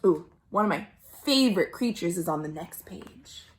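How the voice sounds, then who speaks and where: A woman reading aloud expressively to young children as a librarian, with playful asides and dramatic pauses. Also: on the low side, animated. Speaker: children's librarian, storytime reader.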